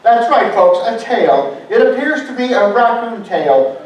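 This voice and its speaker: Man acting as excitable news reporter, high voice, exclamatory, stage musical, echoey hall. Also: measured pace. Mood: breathless excitement. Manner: showy, exclamatory. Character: excitable, dramatic male breaking-news TV reporter. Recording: echoey hall, distant mic.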